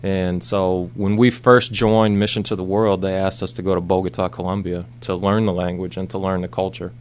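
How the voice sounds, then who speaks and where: A man's voice: deep voice, level tone, normal speed, darkish tone. An American man of about forty, speaking casually as a guest in a radio interview. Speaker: guest, missionary. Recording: background noise and hum.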